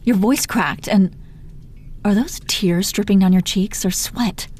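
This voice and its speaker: A woman's voice: medium pitch, measured pace, a little mellow, natural voice. A woman playing a teasing, protective female supervillain in her thirties in an intimate roleplay audio drama, foul-mouthed but coaxing, full of pet names. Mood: incredulous, softening. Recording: noticeable background noise.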